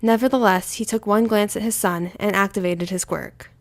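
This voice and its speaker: A woman's voice: mid-range voice, steady tone, normal speed. A woman reading scripted fiction aloud as the narrator of an audio story.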